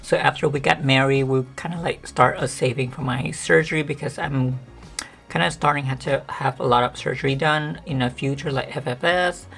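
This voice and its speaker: A woman vlogger chatting casually to the camera with a monotone, deep voice that sometimes cracks, rambling with many fillers. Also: normal speed, gravelly, faint music behind. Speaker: beauty vlogger.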